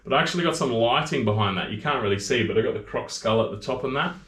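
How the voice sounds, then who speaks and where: A man's voice: medium pitch, lively intonation, quick pace, a bit bright, reverberant, slight background noise. An Australian man in his thirties chatting casually on a livestream, rambling between topics and reading out viewer comments, picked up by camera audio in his workshop. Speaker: maker hosting a livestream.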